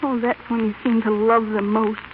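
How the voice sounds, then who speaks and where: An actress playing a devoted, tender teenage daughter with earnest, emotional delivery in an old radio drama. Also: female voice, a little high, flat delivery, moderate pace, close to an everyday voice. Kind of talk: 1930s-40s radio drama acting. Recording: tinny, noisy old radio recording.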